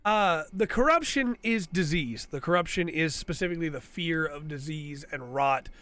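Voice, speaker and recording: A man with a cold talks through a casual commentary video. His voice sits a little higher than most men's, and he rambles on, tagging his points with "right?" as he goes. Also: moderate pace, bright, forceful tone. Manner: rambling, tags lines with "right?". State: has a cold. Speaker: video host.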